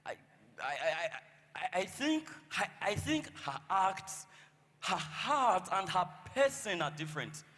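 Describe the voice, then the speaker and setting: Man as a high-voiced, stammering loyal servant. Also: sing-song, unhurried, pushed and bright, exaggerated voice. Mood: nervous, reassuring. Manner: stammering, repeats words, deferential. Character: nervous, loyal, deferential male servant. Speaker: stage actor. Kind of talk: live stage play acting. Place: theatre stage in a hall. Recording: reverberant hall.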